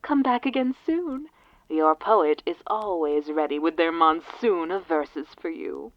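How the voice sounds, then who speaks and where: A woman's voice: fairly low pitch, lively intonation, a little slow. A woman voicing a theatrical, genteel poet who speaks in rhyme to an audience in a scripted audio drama. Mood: warm farewell. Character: theatrical rhyming poet bidding listeners a fond farewell. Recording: muffled lo-fi tape sound.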